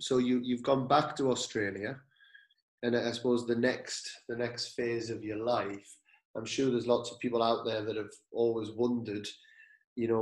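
A male podcast host telling a story and asking questions in a relaxed, agreeable conversational manner. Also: mid-range voice. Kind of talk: conversational interview. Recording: echoey room.

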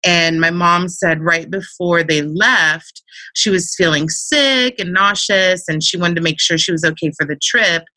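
Bright chatty woman telling stories. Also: lowish voice, a little slow, no echo. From Central Virginia, USA. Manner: chatty storytelling, lots of "like" and "you know". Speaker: podcast guest. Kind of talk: casual interview chat.

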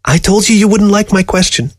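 A man's voice: high voice, brisk, dry recording, clean studio sound, voice pushed up. A man voicing a dead, preserved fox in a story, blunt and resigned, with a matter-of-fact, needling way of talking. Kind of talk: storybook character dialogue.

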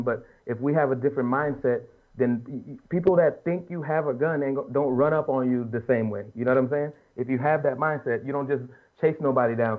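A man in his late thirties talking casually and earnestly as a podcast panelist, making his points emphatically in colloquial speech. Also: mid-range voice. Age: late 30s. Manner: earnest, emphatic, colloquial, repeats and rephrases as he argues. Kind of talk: casual opinionated panel talk. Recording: muffled lo-fi audio.

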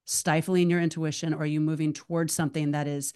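A woman with a low voice hosts a podcast in easy conversation, chatty and prone to rambling tangents. Her speech is loose and enthusiastic, full of asides. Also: little pitch movement, fast, mellow, clean tone. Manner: chatty, rambling, self-described tangent talker. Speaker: podcast host.

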